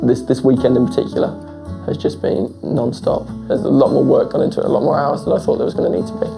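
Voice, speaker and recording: A man's voice: medium pitch, little pitch movement, quick pace, creaky, music in the background. A young man in his twenties, tired and anxious, talking candidly at home during a house renovation. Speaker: renovating homeowner. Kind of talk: candid reality TV talk. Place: indoors at home.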